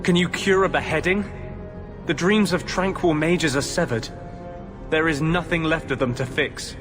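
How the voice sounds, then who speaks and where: An actor voicing an earnest, defiant mage healer with a measured, intense delivery in a dramatic video game. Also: male voice, a little high, close to an everyday voice. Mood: distressed. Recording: music behind the voice.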